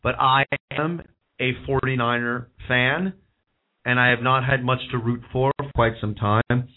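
A hoarse man hosting a call-in wrestling talk show, rambling and opinionated, repeating himself as he goes. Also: mid-range voice, steady tone, measured pace. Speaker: host. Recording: tinny phone-like audio.

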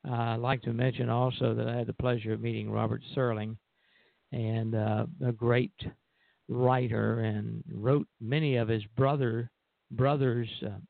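Gravelly older man on a phone line. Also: medium pitch, little pitch movement, unhurried, no room sound. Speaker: radio show co-host. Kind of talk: casual reminiscing on air. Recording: dry, narrow phone line.

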